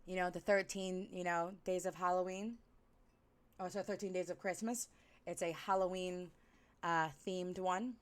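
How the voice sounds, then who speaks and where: A woman reading stories aloud and chatting in an expressive, theatrical way as a live storyteller. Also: on the low side, a little slow.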